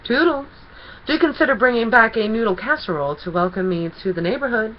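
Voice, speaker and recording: A woman's voice: on the high side, lively intonation, brisk, muffled recording, a little hiss or hum, pitched above the performer's own voice, natural voice. A woman voicing a gleeful, teasing male chaos creature from a children's storybook, with theatrical mock innocence and gushing delight. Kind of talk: children's storybook character reading.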